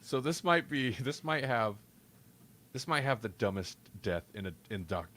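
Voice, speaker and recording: A man co-hosting a podcast, chatting casually and retelling things in an animated way. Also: medium pitch, normal speed. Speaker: podcast co-host. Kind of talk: casual chat review.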